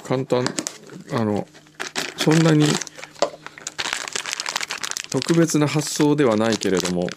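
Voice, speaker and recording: A man's voice: mid-range voice, lively intonation, slow, long pauses, background noise. A man co-hosting a radio show, chatting casually and reading out listener mail.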